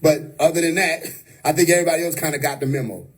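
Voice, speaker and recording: A man's voice: a little high, steady tone, quick pace, breathy edge. A man giving an unscripted, preachy livestream monologue, emphatic and repetitive. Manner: preachy, emphatic, repeats "you know what I mean". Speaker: livestream host. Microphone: phone or webcam on a live stream.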